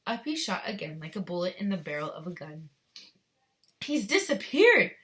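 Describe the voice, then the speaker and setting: A woman's voice: on the low side, quick pace. A woman teacher reading a children's story aloud expressively, with explanatory asides for young pupils. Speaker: second-grade teacher.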